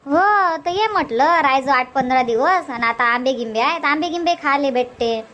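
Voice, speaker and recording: Middle-aged farm mother acted by a woman, cartoon comedy: high-pitched, nagging yet caring, homely rural Vidarbha Marathi accent. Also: moderate pace, a bit bright, highs cut off, slight background noise. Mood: caring, coaxing.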